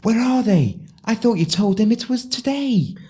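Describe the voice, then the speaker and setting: A man's voice: high-pitched, lively intonation, moderate pace, mellow, husky. A man voicing a shifty, scheming female ugly stepsister in an audio pantomime. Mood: flustered, resigned.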